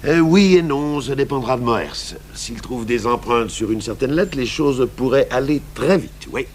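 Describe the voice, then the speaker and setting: An actor playing a seasoned, calm police commissioner in a radio drama, measured and deliberate, firm and patient. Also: male voice, mid-range voice, lightly acted. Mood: brisk, wry. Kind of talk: French radio drama acting. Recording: hummy, noisy old radio broadcast.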